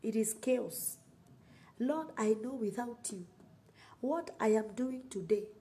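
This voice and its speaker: A woman's voice: a little high, expressive, slow pace, dark tone. A middle-aged woman with a Kenyan accent preaching and praying on a livestream, emphatic and repeating phrases for effect. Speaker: online preacher. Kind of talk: sermon and prayer on a livestream.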